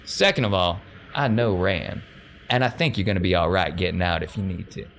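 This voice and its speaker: Man playing a low-voiced folksy crime boss. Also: brisk, gravelly, a bit bright. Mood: smug, commanding. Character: confident male crime boss making a deal. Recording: noticeable background noise.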